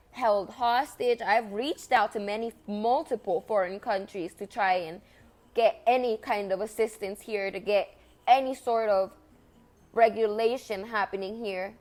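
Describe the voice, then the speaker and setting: A woman's voice: medium pitch, fairly even intonation, measured pace. A young woman whose first language is Spanish, speaking in an impassioned personal monologue, earnest and repetitive with emphatic stresses.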